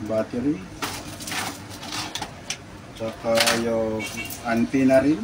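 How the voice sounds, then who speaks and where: Casual Filipino male vlogger, mid pitch, halting product-unboxing delivery, recorded at a distance with noticeable noise. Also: steady tone. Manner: halting, repeats words, addresses viewers often. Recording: distant mic, clearly noisy background with birds.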